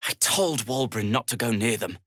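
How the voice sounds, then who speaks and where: A man's voice: mid-range voice, moderate pace, a bit bright, no room sound, studio quality, natural voice. A male actor playing a world-weary, prim gnome in a video game, wry and exasperated, with formal wording. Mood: grave, worried. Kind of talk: video game character dialogue.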